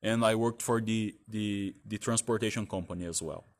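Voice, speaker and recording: Man, Portuguese native speaker, low voice, halting delivery with restarts, dry close sound. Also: steady tone, dry recording. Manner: halting, many restarts and "like" fillers. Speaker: vlogger telling his career story. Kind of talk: casual spoken monologue.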